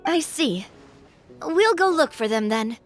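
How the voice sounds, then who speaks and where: A woman's voice: sits high, expressive, soft background music. Woman doing a chirpy little companion. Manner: bright and cheeky, quick to chime in.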